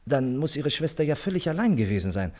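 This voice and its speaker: A man's voice: mid-range voice, very animated, quick pace, darkish tone. A man acting a detective's puzzled, good-natured companion in a radio play, colloquial and questioning. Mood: musing.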